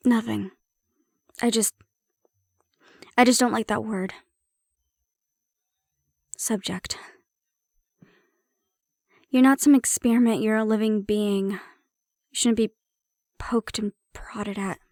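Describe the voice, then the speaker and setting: A woman playing a prickly but secretly caring handler in an intimate fantasy audio roleplay, speaking in a wry, measured, matter-of-fact way. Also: on the high side, fairly even intonation, a little mellow, no room sound, studio quality. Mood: quietly protective.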